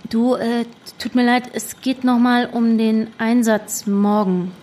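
A woman's voice: on the high side, steady tone, slow pace, a little mellow, a little hiss or hum. An actress playing a determined, suspicious young woman working undercover in a German audio drama, pressing and insistent in manner. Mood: uneasy, polite.

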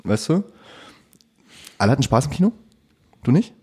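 A man's voice: mid-range voice, very animated, normal speed, a little mellow, husky. A man co-hosting a podcast, chatting casually and joking brashly, with frequent swearing. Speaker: podcast co-host. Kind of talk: casual chat.

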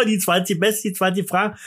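A man with a high-pitched voice chatting loosely on a podcast, rambling from topic to topic and cracking jokes. He sounds a little tipsy, drinking as he talks. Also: fairly even intonation, normal speed, a bit bright, breathy edge. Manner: rambling, joking, drinking during the show. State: a bit tipsy. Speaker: podcast co-host. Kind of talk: boozy casual podcast banter.

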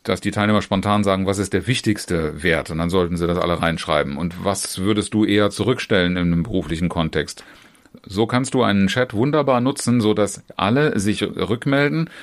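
A man, a trainer who teaches other trainers, gives an informal talk to the listener, addressing them directly in long chained sentences with restarts and fillers. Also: sits low. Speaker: trainer and trainer educator. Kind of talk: informal instructional talk on online seminars.

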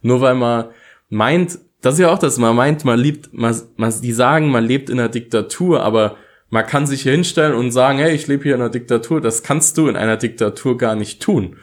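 A man's voice: medium pitch, a little fast. A man co-hosting a podcast, talking about his views in an informal, conversational way. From Saarland, Germany. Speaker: podcast co-host. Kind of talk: casual political podcast talk.

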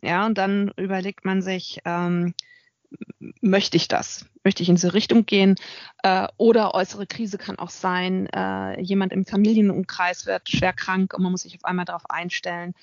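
A woman's voice: mid-range voice, little pitch movement, normal speed, no echo, muffled recording. A middle-aged woman, an author and consultant, giving a thoughtful interview with frequent hesitations. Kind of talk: reflective interview talk.

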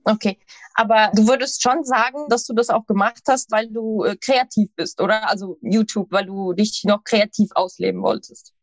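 A woman's voice: medium pitch, fairly even intonation, normal speed, dry recording, rough recording. Woman interviewer, dry close sound. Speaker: podcast interviewer. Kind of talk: casual interview questions.

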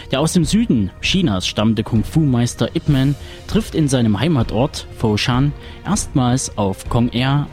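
A man's voice: medium pitch, a little mellow. A man reading a scripted film synopsis as a segment narrator.

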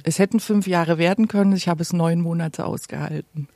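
A woman's voice: fairly low pitch, fairly even intonation, fairly quick, dark tone, dry recording. A woman in her late forties from eastern Germany, telling stories conversationally as an interview guest. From Gera, eastern Germany. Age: late 40s. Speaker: podcast guest. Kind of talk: conversational storytelling about own life.

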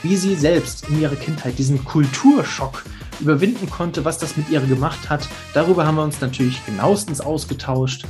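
A man's voice: fairly high pitch, fairly quick, a little mellow, soft background music. A man hosting a podcast interview, talking in an enthusiastic, chatty way. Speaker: podcast host.

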